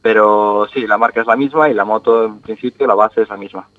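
A man's voice: fairly low pitch, steady tone, fairly quick, no echo. A young man from Galicia, a trial rider, calling in by phone to a radio show and talking in an easygoing way. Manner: easygoing, laughs readily. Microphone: phone line. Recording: dry tinny phone line.

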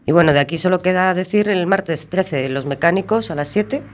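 A woman's voice: lowish voice, a little fast, a little hiss or hum. A woman hosting a radio show, reading event listings in an easygoing, laughing way. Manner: easygoing, laughing as she reads.

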